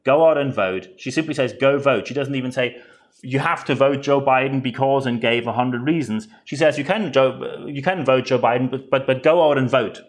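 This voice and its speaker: A man speaking English with a German accent delivers podcast commentary in an earnest, direct way. He often repeats words as he restarts a thought, and his pitch sits in an ordinary middle range. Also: normal speed. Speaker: podcast host.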